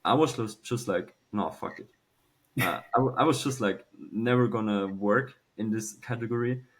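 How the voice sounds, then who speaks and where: A man, telling a personal story casually and haltingly, with some swearing. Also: fairly low pitch, rough recording. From Switzerland. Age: early 20s. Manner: halting, informal, swears freely. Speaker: podcast guest, young entrepreneur.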